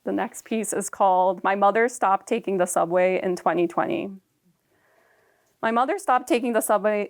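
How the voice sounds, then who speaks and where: A young woman whose first language is Japanese, reading her own personal prose aloud in a calm, measured and reflective way. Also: medium pitch, smooth.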